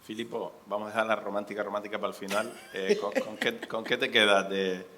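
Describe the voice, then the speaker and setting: A man's voice: fairly low pitch, fairly quick, background noise. A man moderating a panel discussion, asking questions with occasional restarts. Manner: posing questions, hesitant restarts.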